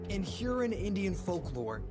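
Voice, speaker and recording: A studious monster researcher in a video game. She explains things eagerly in a medium-pitched voice, with music playing behind her. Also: very animated, unhurried. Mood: excited.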